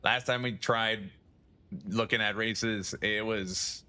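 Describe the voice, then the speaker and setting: A man's voice: lowish voice, steady tone, slow, pushed and bright, clean tone. A male streamer chatting casually on a livestream, rambling, swearing and chuckling often.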